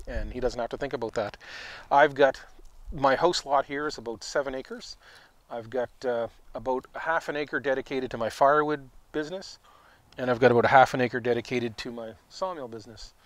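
Host type, 40s man, easygoing practical explainer, outdoor yard. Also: mid-range voice. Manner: relaxed and practical, explains with hands. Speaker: sawmill owner and video host. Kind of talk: casual outdoor talk to camera. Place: outdoors in a wooded yard, seated on a sawmill.

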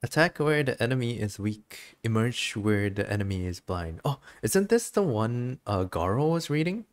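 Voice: medium pitch, very animated, moderate pace. A streamer giving casual, chatty commentary on a game and reading its dialogue aloud.

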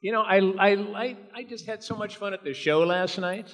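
A man's voice: sits high, moderate pace, a bit bright. A man on a convention panel, playful and chuckling as he talks. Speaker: panel guest. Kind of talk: casual panel chat. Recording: convention hall reverb with crowd.

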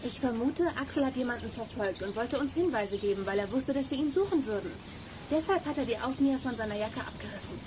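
A woman's voice: fairly high pitch, animated, quick pace, background noise, close to an everyday voice. A woman playing a clever, decisive girl detective in a children's audio drama. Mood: deducing.

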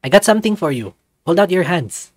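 Man voicing an eager young otter, pitched up. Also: lively intonation, brisk, dry recording. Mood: excited. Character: eager, affectionate young male otter, small and lively.

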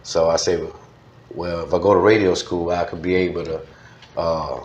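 A man's voice: deep voice, level tone, normal speed. A man in his fifties with a Louisiana Southern accent, telling stories casually in an interview and often dropping "man" into his phrases. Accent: Southern American, Louisiana. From Louisiana, United States. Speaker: podcast guest, former radio DJ. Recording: slightly dull, echoey studio sound.

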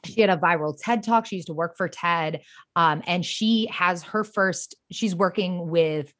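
Young woman on a podcast, kinda low voice, gushy and slangy, says like a lot. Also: a little slow, a bit bright. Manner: effusive, slangy, peppers talk with "like". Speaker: podcast guest. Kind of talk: casual chat.

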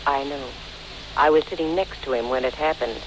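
A woman's voice: low voice, moderate pace, lightly acted. Actress as brassy female private eye: dry, breezy, wisecracking, old-time radio sound with slight noise. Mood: no-nonsense. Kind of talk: 1940s radio detective acting. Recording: noisy vintage 1940s radio recording.

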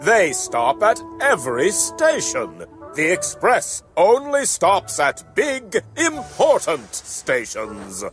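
Cartoon engine, big and haughty, high lively voice, unhurried, as a man does him. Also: soft background music, heavily acted. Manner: boastful, haughty. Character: proud, pompous big male express steam engine.

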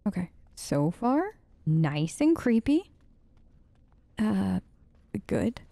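A woman's voice: mid-range voice, sing-song, extremely slow, gravelly, warm tone, dry recording. A woman hosting a let's play with casual, wry, hesitant role-play commentary, talking in character.

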